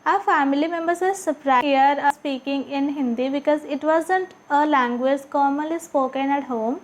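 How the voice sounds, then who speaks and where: Non native english woman, really high voice, reading out a scripted talk for her channel. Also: moderate pace. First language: not English. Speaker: language-learning video creator. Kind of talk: scripted monologue, read aloud.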